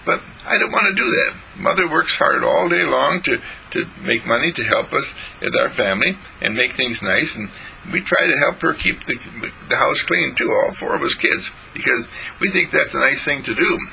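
A man's voice: mid-range voice, expressive, moderate pace, creaky, bright, forceful tone, a little hiss or hum, pitched above the performer's own voice, heavily acted, low-quality recording. A man voicing a polite, earnest eight-year-old boy in a storybook telling.

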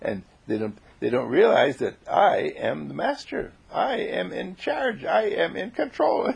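A man playing an earnest, self-assured young fellow in a story, emphatic and knowing, moving between hushed and insistent. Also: mid-range voice, creaky, husky, muffled recording, heavily acted.

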